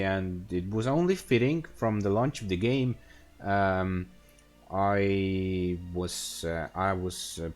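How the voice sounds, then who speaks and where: Slow, low-voiced Estonian-accented man streaming. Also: darkish tone. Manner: halting, many fillers and restarts. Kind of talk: casual gameplay stream commentary.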